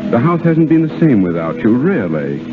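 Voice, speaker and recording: An actor playing an earnest, tender gentleman suitor in a 1930s radio drama. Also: mid-range voice, very animated, moderate pace, close to an everyday voice. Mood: earnest, hesitant. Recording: muffled 1930s radio recording, music behind.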